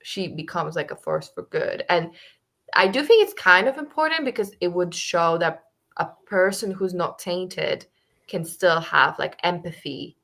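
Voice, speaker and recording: A woman's voice: on the low side, lively intonation, unhurried, gravelly, a bit bright. A woman co-hosting a book club discussion over a video call, talking casually and thinking aloud with lots of fillers.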